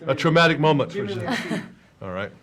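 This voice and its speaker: A man's voice: fairly high pitch, sing-song, a little fast, a crowd in the background. A man hosting an interview, playful and joking with his guest. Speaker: talk show host. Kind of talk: casual interview chat.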